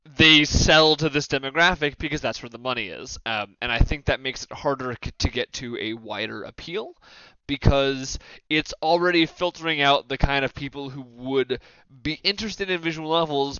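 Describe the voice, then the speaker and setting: Bright, clear-voiced man in casual debate. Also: mid-range voice, moderate pace, no room sound, lo-fi sound. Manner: emphatic, sweary. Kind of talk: casual opinionated panel discussion.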